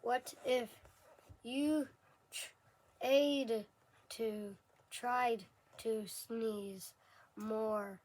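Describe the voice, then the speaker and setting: A woman's voice: on the high side, steady tone, drawn-out words, long pauses, a little mellow, poor audio. A woman reading a children's picture book aloud word by word, stumbling and correcting herself as she goes. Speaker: story reader.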